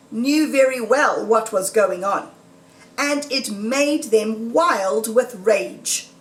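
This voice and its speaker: A high-voiced woman reads a children's storybook aloud with dramatic emphasis. Her pitch swings in a lively way as she narrates. The recording is roomy and echoing. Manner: deliberate, dramatic read-aloud delivery with emphasis. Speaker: storyteller reading a children's book. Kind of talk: expressive scripted storybook narration. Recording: roomy echo, distant microphone.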